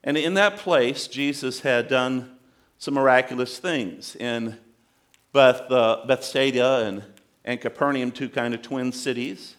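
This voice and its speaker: A man's voice: medium pitch, measured pace, fairly bright tone. A man preaching a sermon, asking the congregation questions and building in intensity. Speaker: pastor.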